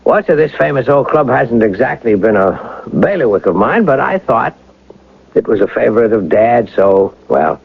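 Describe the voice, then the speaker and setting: A man's voice: medium pitch, expressive, moderate pace, creaky, breathy edge. An actor playing an embittered, wealthy young Ivy League man in a radio drama, intense and emphatic. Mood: polite, guarded. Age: young adult. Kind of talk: radio drama acting and first-person narration. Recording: dull old radio broadcast with music.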